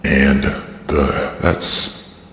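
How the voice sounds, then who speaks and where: Very deep voice-changed man, heavily echoing. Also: steady tone, a bit bright, a little hiss or hum. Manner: halting, repetitive, aggrieved. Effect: pitch-lowering voice changer. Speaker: vlogger giving a personal statement. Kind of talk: rambling personal monologue. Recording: cavernous reverberant processed sound.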